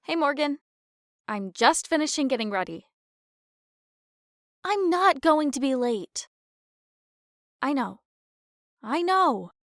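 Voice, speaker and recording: A young adult heroine of an audio drama, wry and casual with a caring streak. Her voice is high and very lively in pitch, and she takes her time over her lines. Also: slow pace, pauses often, no echo, clean studio sound, heavily acted. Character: Melanie, young blonde woman, wry, sarcastic, caring.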